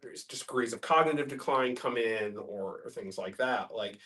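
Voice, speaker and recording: A man taking part in a group discussion, reflecting philosophically in a halting way as he works through his thoughts. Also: medium pitch, fairly bright tone. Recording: group in room, distant mic.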